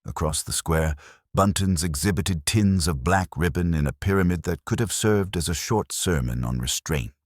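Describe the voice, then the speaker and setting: A man reading scripted literary mystery narration in a measured, dry, wry manner. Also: low voice, no room sound.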